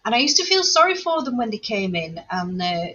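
A woman's voice: on the high side, sing-song, fairly quick, pushed and bright. A woman, a podcast co-host, chatting casually with short, agreeable remarks and questions. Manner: brief, agreeable interjections and questions. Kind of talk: casual chat. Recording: distant, dull and muffled.

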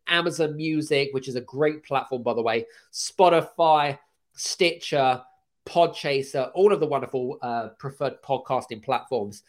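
A man hosting a podcast with a croaky voice, energetic and rambling, with many fillers and restarts. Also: medium pitch. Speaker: podcast host. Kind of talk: casual chat.

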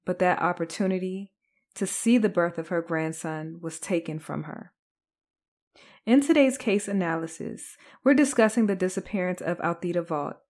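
A woman's voice: lowish voice, darkish tone. A woman podcast host delivering scripted true crime narration.

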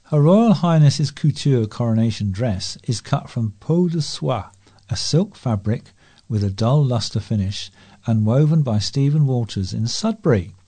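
A man's voice: medium pitch, lively intonation, a little slow, warm tone, husky. A man reading scripted local news as a volunteer newsreader.

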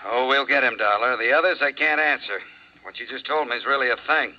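An actor playing a matter-of-fact, assured police sergeant in a 1950s radio drama, plain-spoken, with an American accent. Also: male voice, medium pitch, brisk, natural voice. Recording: dull 1950s radio recording.

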